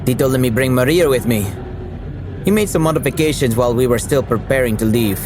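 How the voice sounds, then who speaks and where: A man's voice: medium pitch, fast, background noise, close to an everyday voice. A man playing a casual, opinionated driver in an audio drama, grumbling as he talks. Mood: easygoing. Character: casual, opinionated Filipino male shapeshifter driver.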